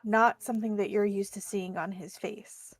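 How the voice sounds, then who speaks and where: A woman's voice: medium pitch, steady tone, normal speed, dry recording, studio quality. A woman playing a tabletop roleplaying game, chatting casually as she speaks for her character.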